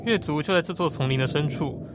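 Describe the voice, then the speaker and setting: A man acting as a calm, cautious guide in an audio drama, explaining things in a matter-of-fact way. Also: fairly high pitch, fast, noisy background, lightly acted. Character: calm, cautious male guide to a hidden tribe's land. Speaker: voice actor.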